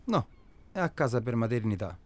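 A man's voice: medium pitch, very animated, a little fast, a little mellow, dry recording, natural voice. An actor playing a practical, touchy family man, speaking in a laconic, guarded, matter-of-fact way. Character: terse, practical, touchy family man, discreet hired accomplice. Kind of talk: naturalistic radio drama dialogue.

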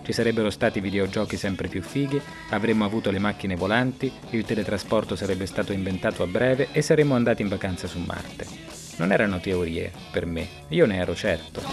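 A middle-aged man reading scripted first-person narration in a reflective, wry manner. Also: fairly low pitch. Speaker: narrator and author of an audio series. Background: guitar music.

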